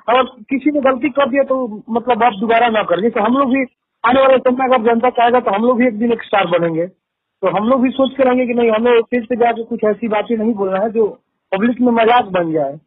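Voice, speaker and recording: High husky man with Bhojpuri accent, phone line. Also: a little fast, no room sound. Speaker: singer being interviewed. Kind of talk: phone interview, informal conversational answers. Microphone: phone call. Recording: tinny, muffled phone line.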